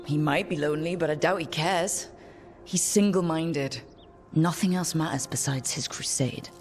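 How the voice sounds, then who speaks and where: A woman's voice: low voice, moderate pace, faint music behind, lightly acted. A woman playing a curious, wry adventurer and leader in a fantasy video game, with a dry conversational manner. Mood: probing. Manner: dry, inquisitive.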